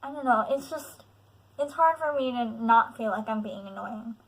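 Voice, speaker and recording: Young woman vlogger, late teens, nasal with a lisp, slightly high voice, halting and candid with lots of fillers. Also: rough recording. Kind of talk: personal confessional vlog.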